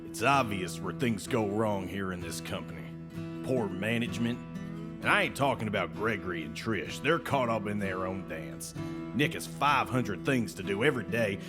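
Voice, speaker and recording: A man's voice: lowish voice, lively intonation, normal speed, loud music behind the voice, close to an everyday voice. An actor playing a brash, sly, folksy salesman with an American Southern accent and needling, colourful idioms in an audio drama. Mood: indignant, scornful. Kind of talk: scripted audio drama acting.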